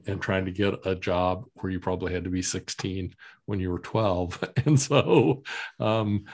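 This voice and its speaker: A man's voice: deep voice, a little mellow. A man with a slight Texas accent hosting an interview, upbeat and affirming, asking questions with fillers. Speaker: podcast host. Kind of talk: casual interview chat.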